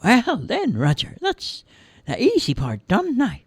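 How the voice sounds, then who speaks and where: A woman doing a squeaky giggling tiny magic man. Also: huge pitch swings, a little slow, slightly rough, dry recording, clean studio sound, full character voice. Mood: cheerful. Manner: chirpy, giggling.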